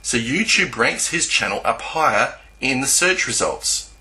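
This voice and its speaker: A man giving an online video commentary over screenshots, speaking in a halting, hesitant way. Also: mid-range voice, animated, pushed and bright, rough recording. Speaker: YouTuber presenting screenshots. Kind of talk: screen-recording commentary, partly scripted exposé.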